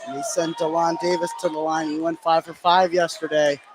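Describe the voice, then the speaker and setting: A man's voice: on the high side, level tone, moderate pace, over a noisy crowd, low-quality recording. A man giving live color commentary on a basketball game, analytical and often putting questions to his partner.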